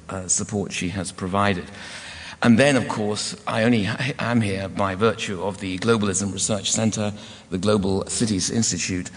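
A man with a croaky, hoarse voice giving a formal academic lecture in measured tones. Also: on the low side. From United Kingdom. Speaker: guest lecturer. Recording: long hall reverb.

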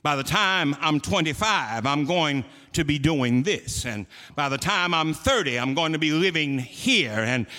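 A man's voice: fairly high pitch, animated, a little slow, few pauses, pushed and bright, big-room sound. A man preaching a sermon to his church, building emphasis through repeated phrases. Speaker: pastor.

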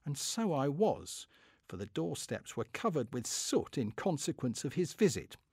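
A man's voice: mid-range voice, big pitch swings, measured pace, a little mellow, slightly rough, no room sound, studio quality, full character voice. A man playing a pompous, fussy, middle-aged Victorian clerk who is easily affronted, in a comic dramatic diary reading. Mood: fussy, put out. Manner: pompous, indignant, self-satisfied.

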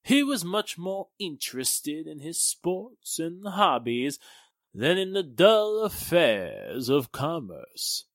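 An actor playing an indignant, blustering, protective gentleman in a pompous 1940s-style radio drama manner. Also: fairly high pitch, huge pitch swings, slow pace, dry recording, heavily acted. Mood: earnest.

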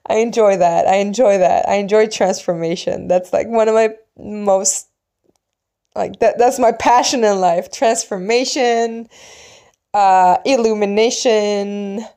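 A woman from Sweden, a native Swedish speaker, telling a personal story in a casual, reflective, meandering way with pauses and hushed asides. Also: mid-range voice, fairly even intonation. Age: adult, mother of young children.

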